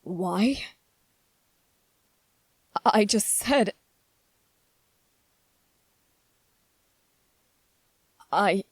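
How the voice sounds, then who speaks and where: A woman playing a gentle, anxious young prince in an ASMR roleplay, speaking in a hesitant, earnest and pleading manner. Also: medium pitch, no echo. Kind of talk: ASMR roleplay, scripted character acting.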